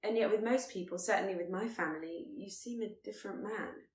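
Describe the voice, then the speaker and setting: A woman voicing a spirited, candid and tender young Regency gentlewoman, articulate and earnest. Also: medium pitch, brisk, echoey room, close to an everyday voice.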